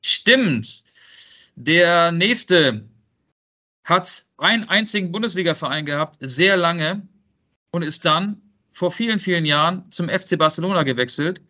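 A man hosts a football quiz in a casual podcast conversation. His voice sits a little high and has a sharp, bright edge. The sound is slightly muffled and lo-fi. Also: animated, a little slow. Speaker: podcast co-host and quizmaster.

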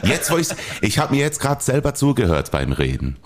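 A man with a Bernese Swiss German accent chatting on a podcast, telling stories in a halting, hesitant way. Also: mid-range voice, big pitch swings. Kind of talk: casual podcast chat.

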